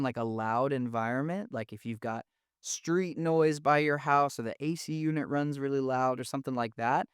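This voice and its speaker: Relaxed, slangy man teaching audio gear. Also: medium pitch, smooth, no echo. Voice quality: thin, mid-range voice. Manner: relaxed, slangy, enthusiastic explaining. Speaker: audio engineering instructor. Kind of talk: casual explanatory lesson. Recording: dry, close studio sound.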